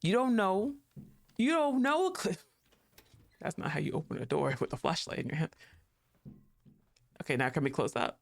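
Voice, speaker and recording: A woman's voice: fairly low pitch, big pitch swings, a little slow, creaky, pauses often. A woman streamer giving casual, teasing commentary as she plays, chatting to the game and laughing often.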